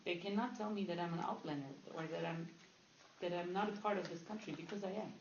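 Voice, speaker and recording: A woman from Berlin answering interview questions, acting out little dialogues with a raised, lively voice. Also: lowish voice, fast talker, background noise. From Berlin, Germany. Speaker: interview guest. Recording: echoey lo-fi room recording.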